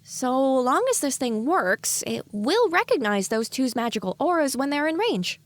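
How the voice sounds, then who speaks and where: Woman as an anxious high-voiced young pony. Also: sing-song, normal speed, no echo. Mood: matter-of-fact. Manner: earnest, worried self-talk. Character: anxious young female pony's inner voice, self-prodding. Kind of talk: audio drama inner monologue.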